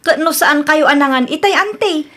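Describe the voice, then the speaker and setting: A woman playing a brisk, self-assured young niece with an Ilocano accent in a Filipino radio comedy drama. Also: high-pitched, bright, forceful tone. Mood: cheerful.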